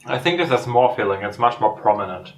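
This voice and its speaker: A man's voice: mid-range voice, fairly quick, distant mic. A young man with a German accent talks through the snacks he is tasting in an easygoing, reflective way. His medium voice sits a bit back from the mic. The room adds a noticeable echo. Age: young adult. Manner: relaxed, reflective, gives frank ratings. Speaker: host. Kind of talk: casual food tasting chat.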